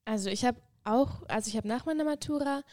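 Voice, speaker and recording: A woman of twenty-four from Switzerland, a podcast guest who speaks calmly and matter-of-factly. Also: a little high, quick pace, dry recording, clean studio sound. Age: 20s. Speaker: mission school student, guest. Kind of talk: casual podcast conversation.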